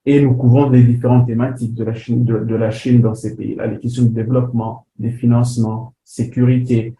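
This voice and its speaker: Halting man giving a webinar talk. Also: mid-range voice, flat delivery, warm tone. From DR Congo. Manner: hesitant, many fillers and restarts. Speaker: webinar presenter, editor. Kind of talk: online lecture presentation. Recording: roomy sound with faint background noise.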